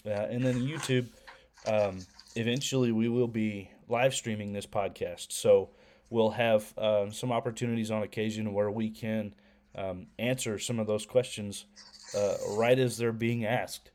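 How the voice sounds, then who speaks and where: A man's voice: lowish voice, steady tone, a little slow, darkish tone. Nasal man with a head cold. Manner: hesitant, many ums and restarts. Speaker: podcast co-host. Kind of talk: casual podcast chat.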